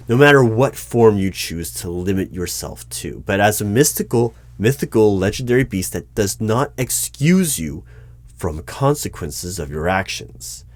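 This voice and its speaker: A man playing a formal, unflappable hiring manager at a secret bureau, speaking in a measured, deadpan way in an ASMR interview roleplay. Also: on the low side, no echo. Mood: stern. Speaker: interviewer in a one-sided roleplay. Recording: clear noise with keyboard typing. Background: computer keyboard typing and clicks.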